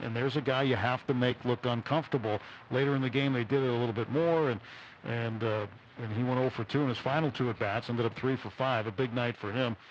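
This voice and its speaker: A man giving unscripted postgame analysis as a baseball radio broadcaster. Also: mid-range voice. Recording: dull, faintly noisy radio broadcast.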